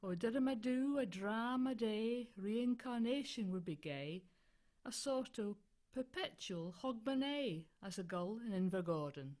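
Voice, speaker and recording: A woman with a Scottish accent reciting poetry, quiet and distant on a video call. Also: fairly high pitch, animated, unhurried. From northeast Scotland. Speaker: folk club performer.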